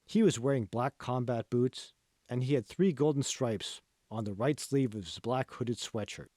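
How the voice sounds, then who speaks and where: A man narrates a novel in a scripted audiobook style. His voice sits at a medium pitch, and the recording is dry, with no room sound. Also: moderate pace, warm tone, dry recording. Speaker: author reading own audiobook.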